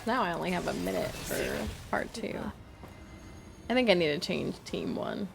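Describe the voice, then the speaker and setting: A woman thinks aloud as she plays, her voice heavy with gravelly fry and swinging widely up and down in pitch. She talks casually, weighing her options out loud, with faint music behind her. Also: very animated, moderate pace. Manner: thinking aloud, musing over choices. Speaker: streamer. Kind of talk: casual gameplay commentary.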